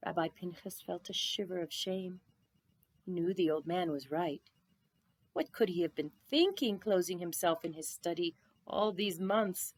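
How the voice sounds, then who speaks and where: A woman reading a children's story aloud in an expressive narrating style. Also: fairly low pitch, unhurried, dry recording. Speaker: storybook reader.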